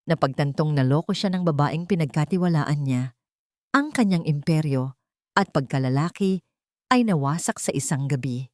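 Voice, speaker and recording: A woman narrating a scripted dramatic story in a measured, theatrical storytelling manner. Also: on the low side, a little mellow, no room sound, studio quality.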